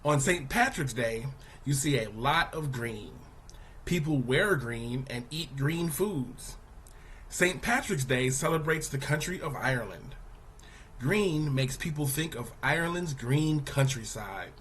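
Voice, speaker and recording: A man reading a children's news article aloud as a teacher, in a deliberate, explanatory manner. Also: mid-range voice, expressive, a bit bright, slight background noise. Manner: deliberate, explanatory, poses questions to young listeners.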